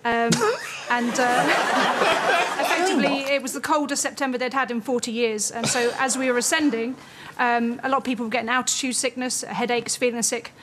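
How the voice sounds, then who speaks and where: A woman's voice: fairly high pitch, fairly even intonation, normal speed, heavy background noise. A woman from southern England telling a giggly, matter-of-fact anecdote as a guest in a TV studio. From Gosport, Hampshire, England.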